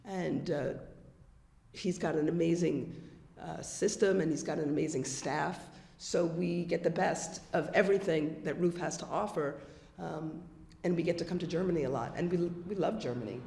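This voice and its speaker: Gravelly, hoarse American woman. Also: sits low, normal speed, dark tone. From United States. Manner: chatty storyteller, many fillers and restarts. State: hoarse, losing her voice. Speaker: band member. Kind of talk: casual interview chat. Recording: echoey room.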